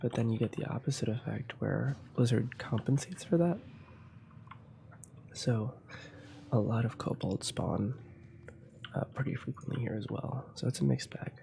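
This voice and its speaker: Gravelly soft-spoken man with a cold. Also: mid-range voice, normal speed, pauses often, slight background noise. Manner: quiet, slipping into whispers. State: has a cold. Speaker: ASMR gaming channel host. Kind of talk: soft-spoken ASMR gameplay commentary.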